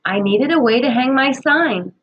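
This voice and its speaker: Woman reading as a camp girl in a kids story, earnest n expressive, highish, dull sound. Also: moderate pace, husky. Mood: explaining.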